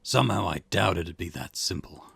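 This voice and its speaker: A man voicing a gruff, weary chief police inspector in a visual novel, dismissive and sceptical. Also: deep voice, lively intonation, moderate pace, a bit bright, no echo, voice pushed down, full character voice. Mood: dismissive, irritated.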